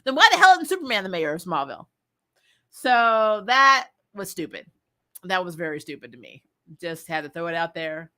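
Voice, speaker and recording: A woman giving casual, opinionated commentary as a show host, chatty and animated, with blunt, slangy phrasing. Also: lowish voice, normal speed.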